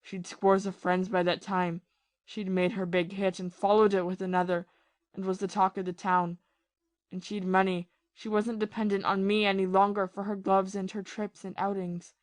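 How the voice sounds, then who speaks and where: A woman playing a bitter, lovesick, ruined ex-army captain in a period audio play, confessing passionately and haltingly. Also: medium pitch, little pitch movement, dry recording, lightly acted. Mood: bitter, despairing. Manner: confessional, impassioned, halting with repeated phrases.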